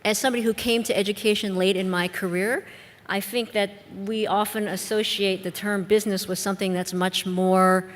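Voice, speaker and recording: A woman with a Midwestern American accent, an educator answering on a discussion panel in a measured, reflective way with frequent restarts. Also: mid-range voice, steady tone, slight background noise. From United States. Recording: long reverb of a conference hall.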